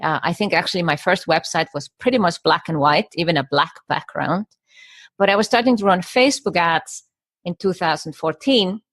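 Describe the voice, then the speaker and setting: A woman's voice: lowish voice, fairly bright tone, no echo. A middle-aged woman from Iceland, a guest on a podcast interview, who talks in short stacked phrases with some fillers and restarts. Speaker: guest, business coach.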